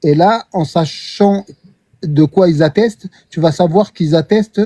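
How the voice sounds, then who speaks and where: A man with a husky voice explaining his point in a measured way during an online debate. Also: fairly high pitch, warm tone, no echo. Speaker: debate participant.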